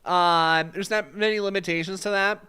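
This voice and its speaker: A man's voice: high-pitched, steady tone, normal speed, pushed and bright, clear, resonant tone. A man with a congested, fading voice teaching a casual live-stream exam study session, answering chat questions with lots of fillers. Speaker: exam prep instructor.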